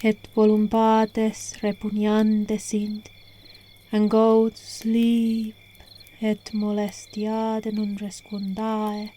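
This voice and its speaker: Casting: woman as alluring hypnotist witch for ASMR roleplay. Soft, breathy, half-whispered, very slow and soothing, mellow tone, phone-mic recording. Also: medium pitch, monotone, slight background noise. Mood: lulling, drowsy. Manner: soothing, hushed, unhurried, coaxing. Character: alluring witch casting a sleepy hypnotic spell, gentle, coaxing.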